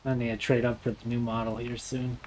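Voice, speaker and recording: A man in his late twenties streams a game with a medium-pitched voice. He talks casually, jokes and teases, and swears freely. There is clear noise behind him. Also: level tone, brisk, darkish tone. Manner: joking, teasing, profane. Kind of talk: casual chat while gaming on a livestream. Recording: clearly noisy stream audio.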